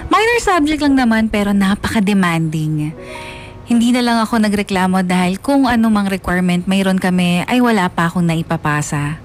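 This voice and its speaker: A woman narrates a scripted radio drama in the first person with a Filipino accent. Her voice sits at a middle pitch, with faint music underneath. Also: animated, normal speed.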